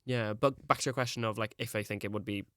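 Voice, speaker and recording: A man's voice: fairly low pitch, steady tone, quick pace, pushed and bright. A young man, chatting as a podcast guest, rambling and full of "like". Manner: rambling, peppered with "like". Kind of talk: casual chat.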